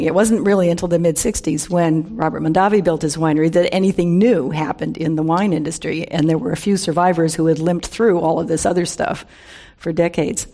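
An American woman in her seventies speaks in a slightly low voice. She tells stories informally to a live audience, conversational and humorous, stressing key words and slipping in wry asides. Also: warm tone. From San Francisco Bay Area, USA. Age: 70s. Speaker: guest speaker, wine industry veteran.

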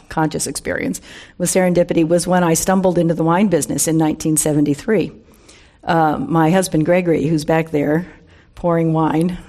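A woman's voice: sits low, warm tone. An American woman in her seventies giving an informal, humorous talk to a live audience, with conversational storytelling, wry asides and emphasis on key words. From San Francisco Bay Area, USA. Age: 70s. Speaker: guest speaker, wine industry veteran.

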